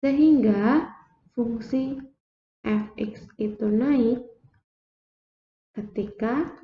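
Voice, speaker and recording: A young woman explaining a math lesson slowly, step by step, like a teacher at a board. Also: a little high. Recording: echoey room, dull lo-fi sound.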